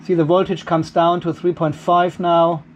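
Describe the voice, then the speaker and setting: A man's voice: a little high, flat delivery, normal speed, highs cut off, slight background noise. A middle-aged man giving a casual hands-on demonstration, chatty and informal, thinking aloud as he works. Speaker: host of a DIY off-grid battery channel. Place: garage workshop.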